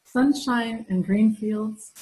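A woman's voice: on the high side, slow pace, darkish tone, rough recording. A middle-aged woman playing a genteel, put-upon wife in an amateur old-time radio comedy. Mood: plaintive. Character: melodramatic, put-upon wife feigning frailty, sweetly genteel. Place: room at home, video call. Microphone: video call.

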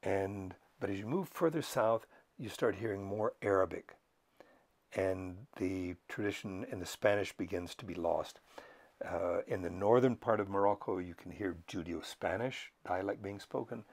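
An older man, a professor, lecturing to camera in a measured, thoughtful way with frequent pauses and fillers. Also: low voice. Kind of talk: unscripted educational talk to camera.

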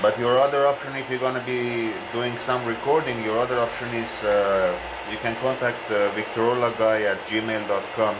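A man giving a casual workshop demonstration, talking in a relaxed, halting way with many filler pauses, recorded on a camera mic in a home workshop. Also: lowish voice. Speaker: hobbyist machinist. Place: home workshop with running lathe. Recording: loud machine noise behind.

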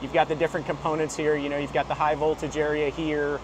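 A man giving a casual, unscripted walkthrough of HVAC unit controls, explaining each part with frequent "you know" and quick self-corrections. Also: on the high side, flat delivery. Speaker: HVAC equipment rep giving a tutorial. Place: beside a rooftop HVAC unit, outdoors. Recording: noisy outdoor phone recording with machinery hum. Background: running compressor and fan hum.

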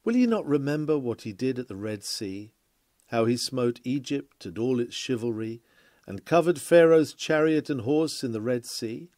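Man, mid-pitched voice, formal sermon reading, oratorical, measured, dry close recording. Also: animated, a little mellow, no room sound. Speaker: reader of a historical sermon. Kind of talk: sermon read aloud from a script.

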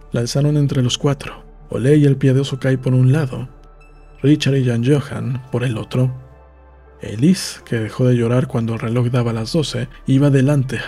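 A man reading a novel aloud as an audiobook narrator, in a calm, measured storytelling manner. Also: mid-range voice, slightly rough, faint music behind.

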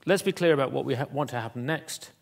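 A man giving a conference keynote in an auditorium, talking in a halting way with many fillers and restarts. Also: mid-range voice, vocal fry, faint music behind. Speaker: keynote speaker.

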